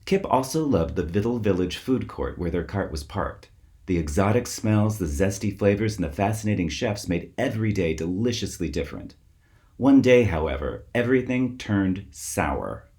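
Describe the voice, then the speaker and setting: A man reading a children's story aloud as its author, with expressive, theatrical emphasis. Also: low voice, normal speed. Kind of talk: scripted storybook narration for children.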